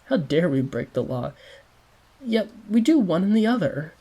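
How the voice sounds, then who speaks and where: A man's voice: high-pitched, sing-song, moderate pace, mellow, pitched above the performer's own voice, exaggerated voice. A man voicing a tormented devout man who broods on death and sin, speaking with fervent, preaching intensity. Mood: brooding.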